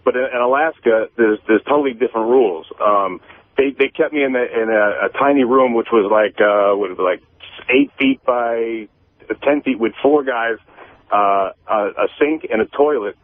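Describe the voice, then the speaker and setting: A man's voice: mid-range voice, no room sound. Guy phoning into a radio show, really thin phone sound, dry, stammers and rambles thru his story. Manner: halting, rambling, repeats words and stammers. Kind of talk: casual confessional chat. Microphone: telephone. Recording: tinny, band-limited phone line.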